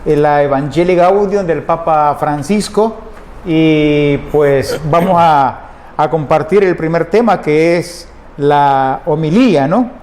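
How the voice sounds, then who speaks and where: Halting Salvadoran radio host with a higher voice. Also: male voice, darkish tone, reverberant. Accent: Salvadoran Spanish. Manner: halting, frequent restarts, backchannels "ujú". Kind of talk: radio interview hosting.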